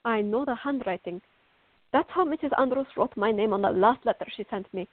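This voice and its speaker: A headstrong teenage girl, acted by a woman in a radio drama, with a high voice. She is defiant and quick to turn sarcastic. The sound is narrow and phone-like, with very little room around it. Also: dry recording, natural voice. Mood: stubborn, defiant. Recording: muffled, phone-like narrow-band audio.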